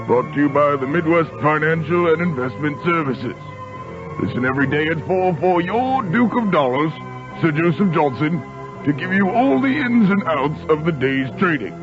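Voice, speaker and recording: A man's voice: a little high, lively intonation, normal speed, lightly acted. A man voicing a pompous medieval town crier, declaiming a radio promo like a royal proclamation. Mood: pompous proclaiming. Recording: dull radio recording with music.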